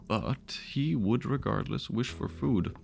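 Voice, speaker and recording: A man voicing a sly, patient, menacing supernatural bargainer who speaks in deliberate, philosophical and teasing turns. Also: medium pitch, lively intonation, vocal fry, soft background music, voice pushed up, full character voice. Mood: patient, probing.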